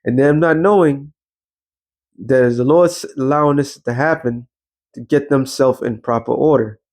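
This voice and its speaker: Man, mid-pitched voice, informal street preacher, blunt, slangy, profane, teacherly rhetorical questions. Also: a little slow, darkish tone. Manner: rhetorical questions and repeated check-ins like a teacher. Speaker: preacher and show host. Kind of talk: informal street sermon with scripture reading and commentary.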